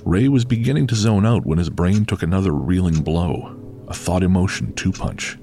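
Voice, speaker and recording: A man with a very deep voice reads scripted horror fiction as the narrator of an audio drama. Faint music plays beneath him. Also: moderate pace, warm tone.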